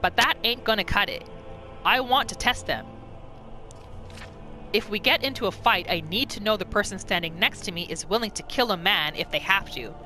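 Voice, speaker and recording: Rough, distrustful bandit storyteller played by a woman for fantasy game journal, slangy and threatening, bright edge. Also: mid-range voice, expressive, normal speed, faint music behind. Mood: menacing, distrustful. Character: rough, callous bandit writing a grudging account of betrayal. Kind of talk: in-character reading of a game journal.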